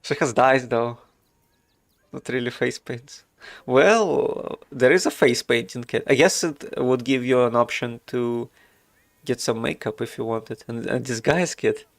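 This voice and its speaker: A man's voice: medium pitch, measured pace, no room sound. A man from Russia with a Russian accent, chatting casually while streaming a game, thinking aloud with many fillers. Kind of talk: casual gameplay commentary.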